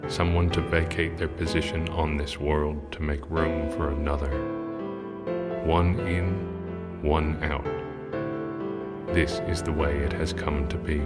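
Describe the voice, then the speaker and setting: A man playing a gloomy, cynical older mural painter in an audio drama, with a sardonic, world-weary, grumbling manner. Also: very deep voice. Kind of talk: audio drama acting and first-person narration.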